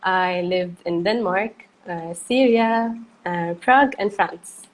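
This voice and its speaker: Soft-spoken woman with hesitant fillers. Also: on the low side, slow, poor audio. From Egypt. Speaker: guest artist. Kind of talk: casual video-call interview.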